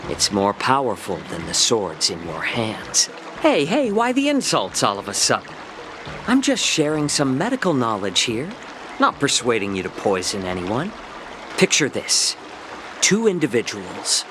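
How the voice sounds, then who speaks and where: An actor playing a genial, sly male healer in a video game, with a polite, wordy, gently teasing manner. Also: medium pitch, wildly swooping pitch, unhurried, over music. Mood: sly, measured, then protesting, persuasive. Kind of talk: game cutscene character acting.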